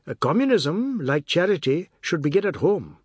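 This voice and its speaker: Male narrator as an aggrieved family man, indignant, defiant, raised pitch, husky, audiobook story. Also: big pitch swings, normal speed, no echo, studio quality. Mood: declamatory. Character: hot-tempered aggrieved man shouting bitter taunts at his in-laws.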